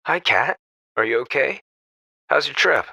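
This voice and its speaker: A man's voice: mid-range voice, expressive, unhurried, pushed and bright, breathy edge, no echo, full character voice. An actor playing a caring, inquisitive male friend, attentive and conversational, in an audio drama. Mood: friendly.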